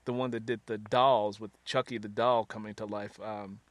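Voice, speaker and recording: A man's voice: fairly low pitch, flat delivery, moderate pace, dry recording. A man hosting a conversational interview, talking at length and rephrasing his thoughts as he goes.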